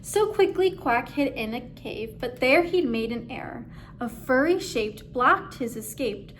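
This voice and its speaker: Woman, very high voice, unhurried, expressive storybook read-aloud, dramatic phrasing, faint background noise. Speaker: story reader.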